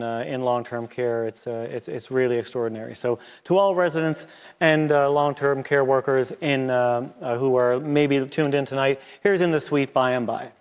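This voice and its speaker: A man introducing hymns at a church service, with a Newfoundland accent and a halting delivery full of pauses. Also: medium pitch, clear, resonant tone. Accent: Newfoundland English. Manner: halting, many filler pauses. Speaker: church music leader introducing singers. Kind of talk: hymn program introductions. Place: church sanctuary. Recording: long church reverb.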